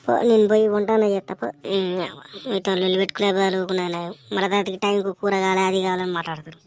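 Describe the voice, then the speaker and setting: A woman voicing an exasperated, sharp-tongued village wife and mother in an amateur cartoon comedy, with a Telangana Telugu accent. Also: medium pitch, level tone, racing, pitched below the performer's own voice, natural voice.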